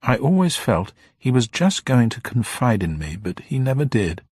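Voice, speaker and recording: Man voicing a stiff old gentlewoman, pitched up. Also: big pitch swings, normal speed, breathy edge, dry recording, clean studio sound. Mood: grave, sorrowful. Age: older. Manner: genteel, formal, solemn. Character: little old upper-class woman, grieving, stiff and proud. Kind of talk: dramatised audiobook character voice.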